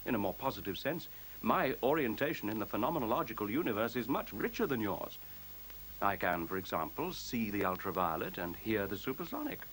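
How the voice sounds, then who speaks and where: An actor playing a self-assured, courteous computer in a radio play, speaking in articulate, formal, precisely lecturing phrases. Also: male voice, on the low side, sing-song, a little fast, a bit bright, poor audio. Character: self-assured, courteous sentient computer, male-voiced, coolly superior. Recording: faint tape hiss.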